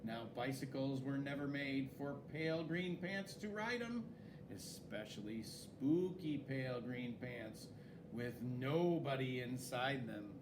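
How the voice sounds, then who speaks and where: A man reading a children's story aloud in an expressive, dramatic way, with swooping emphasis. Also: mid-range voice, slow, noisy background. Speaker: story reader.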